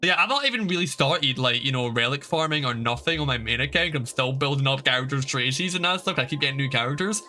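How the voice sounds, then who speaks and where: A man's voice: mid-range voice, lively intonation, fast talker, hardly any pauses, bright, forceful tone, a little hiss or hum. A young man livestreaming a video game, chatting casually and explaining things in a rambling, informal way. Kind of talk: casual stream chat and game advice.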